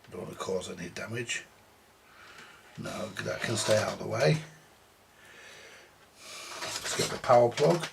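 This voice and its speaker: A man's voice: lowish voice, lively intonation, a little slow, pauses often. A man giving casual, muttered, thinking-aloud commentary as he repairs audio equipment at a workbench. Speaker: vintage audio repairer presenting a workbench video. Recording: clear background noise, clicks.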